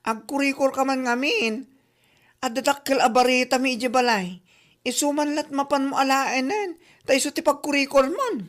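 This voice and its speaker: A woman's voice: high voice, moderate pace, close to an everyday voice. A woman with an Ilocano accent playing a dramatic, gossipy confidante who exclaims theatrically in a comedy drama. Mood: advising.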